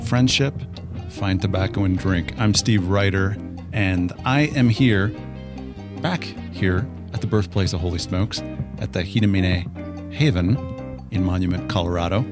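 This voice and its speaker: A man's voice: low voice, unhurried, music in the background. A man hosting a podcast, opening the show and asking his guest questions in an upbeat, conversational way. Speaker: podcast host. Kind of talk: podcast interview.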